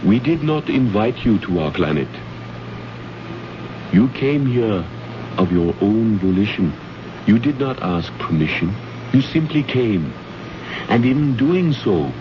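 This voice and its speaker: A man's voice: lowish voice, very animated. An actor playing a dignified alien king in an old radio drama, grave and formal, stern with a note of regret. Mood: stern accusing. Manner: formal, grave, measured wording. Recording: noisy, muffled old radio recording.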